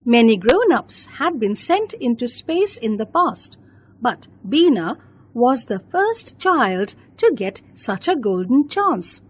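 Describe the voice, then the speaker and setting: Female children's story narrator: high voice with a husky edge, unhurried, expressive delivery. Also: rough recording.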